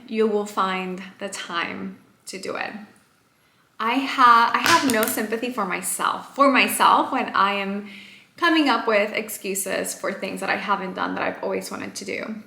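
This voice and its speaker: A woman's voice: mid-range voice, sharp tone. A woman whose first language is Spanish, chatting to camera at home in an upbeat, encouraging way as a lifestyle vlogger. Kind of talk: casual chat to camera. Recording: roomy echo.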